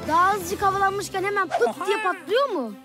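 A woman's voice: very high-pitched, fairly quick. A woman playing a perky, stubborn, childlike friend in a children's cartoon, with a showy, insistent delivery. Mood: showy, excited. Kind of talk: animated children's cartoon dialogue. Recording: music behind the voice.

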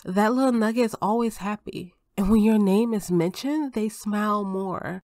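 Actress as tender, reassuring wife and step-parent, ASMR roleplay. Slow, gentle delivery, mid pitch, dry recording. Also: female voice, dry recording. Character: affectionate, reassuring spouse and step-parent comforting partner.

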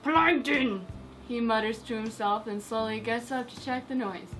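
A woman's voice: fairly high pitch, steady tone, moderate pace, a little hiss or hum, rough recording. A young adult woman reading a mock bedtime story aloud in a giggly manner. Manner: giggly, breaks into laughter while reading. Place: indoors, against a dark cloth backdrop.

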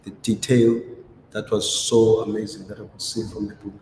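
Hesitant low-voiced South African man. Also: flat delivery, moderate pace, a little mellow. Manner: hesitant, many restarts and fillers. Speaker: ceramic artist. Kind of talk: conversational interview. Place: gallery showroom, indoors. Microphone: video call. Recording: roomy echo with faint noise.

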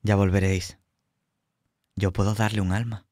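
A man's voice: lowish voice, level tone, moderate pace, a little mellow, dry recording, clean studio sound, pitched below the performer's own voice, lightly acted. A man voicing a menacing demonic villain in a tabletop game, taunting and sinister, demanding obedience. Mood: sinister, commanding.

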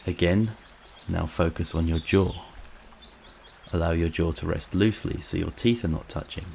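A man narrating a scripted guided meditation in a calm, soothing, measured way, with long pauses. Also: sits low, vocal fry, slight background noise.

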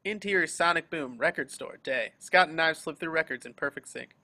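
A man's voice: a little high, a little fast, sharp tone. A man reading scripted stage directions as the narrator of a table read. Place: remote group video call.

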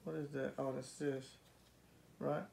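A man's voice: medium pitch, level tone, measured pace, creaky, a little mellow. A man helping a child unbox and build a toy at home, talking in an easygoing way and asking questions as he puts pieces together. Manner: easygoing, asks questions while assembling. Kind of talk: casual home video chat. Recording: noisy home video.

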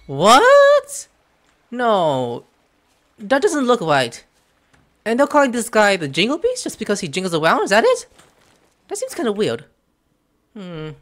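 A young man doing casual let's play commentary, excitable and muttering to himself as he plays. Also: sits high, measured pace, pauses often. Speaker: gaming streamer. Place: small room indoors at home. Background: game music.

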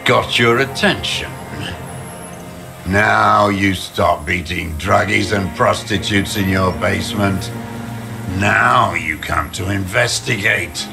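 Casting: embittered old communist holdout for a game, low gravelly voice, slow scornful delivery, music underneath. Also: male voice, full character voice. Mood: seething, accusing. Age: older. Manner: slow, bitter, scornful muttering. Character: bitter old communist deserter, gruff and contemptuous. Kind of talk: dramatic video game voice acting. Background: background music.

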